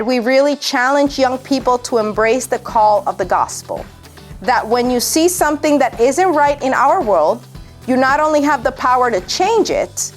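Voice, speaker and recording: A woman reading out a prepared introduction for a guest speaker. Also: high-pitched.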